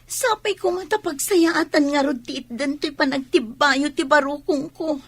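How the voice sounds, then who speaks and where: Very high-pitched, plaintive child. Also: female voice, normal speed. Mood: plaintive, earnest. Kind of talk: Ilocano radio drama acting.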